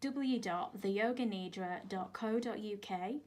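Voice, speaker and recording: A woman yoga teacher and life coach from England, speaking earnestly as a radio guest with hesitant restarts and soft asides. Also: medium pitch, unhurried, slight background noise.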